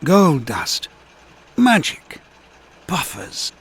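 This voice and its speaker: An anxious magical railroad conductor from a storybook, acted by a man. He speaks earnestly and dramatically, with big pitch swings and a slightly husky tone. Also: wildly swooping pitch, very slow, full character voice. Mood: dreamy mumbling.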